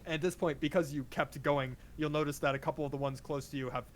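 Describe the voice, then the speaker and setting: A man narrating as game master in a relaxed, filler-heavy way. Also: a little high, quick pace, a little hiss or hum.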